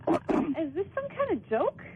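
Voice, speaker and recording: A woman's voice: extremely high pitch, sing-song, normal speed. A hesitant, flustered woman calling in to a radio show over a thin, noisy phone line.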